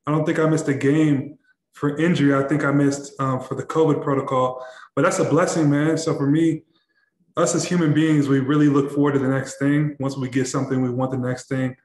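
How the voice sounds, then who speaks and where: A young man, a basketball player, answering questions at a postgame press conference, halting and repeating words with many fillers. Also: mid-range voice, little pitch movement, darkish tone, echoey room, poor audio. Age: young adult. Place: press room in front of a backdrop.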